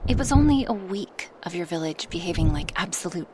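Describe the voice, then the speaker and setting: A woman's voice: lowish voice, animated, a little fast, noisy background, natural voice. A woman voicing a huge, proud dragoness in an ASMR roleplay, speaking formally to her human, teasing yet protective, at times whispery. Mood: teasing, reassuring. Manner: slightly formal phrasing, teasing, reassuring. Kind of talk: ASMR roleplay monologue addressed to the listener.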